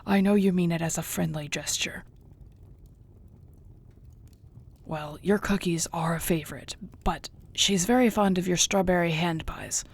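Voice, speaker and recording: A woman playing an affectionate orc warrior girlfriend in an intimate audio roleplay, speaking softly and tenderly with a husky voice. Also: lowish voice. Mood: fond, playful. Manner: soft, tender, unhurried, often near a whisper.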